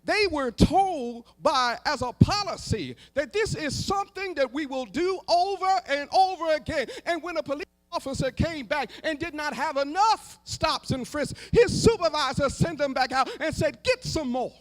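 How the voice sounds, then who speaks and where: An American man preaching an impassioned sermon at a live event, emphatic and rhythmic, building on repeated phrases and moving between hushed lines and shouted, half-sung cries. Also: extremely high pitch, moderate pace, few pauses. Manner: emphatic, rhythmic repetition for rhetorical effect. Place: live public event before a gathering.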